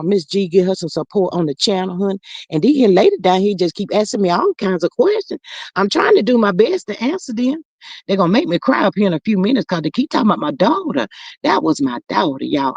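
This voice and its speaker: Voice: medium pitch, sing-song, a little fast, darkish tone, husky, dry recording. A performer voicing an older mother who stammers with fillers and calls everyone honey and child while chatting over viewer comments on a livestream. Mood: gossipy chatty. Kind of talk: improvised comedic character livestream.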